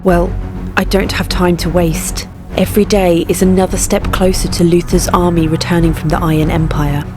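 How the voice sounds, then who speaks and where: A woman's voice: lowish voice, flat delivery, moderate pace, over music, natural voice. A woman voicing a determined young warrior queen who speaks firmly and resolutely. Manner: firm, resolute. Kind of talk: fan dub voice acting.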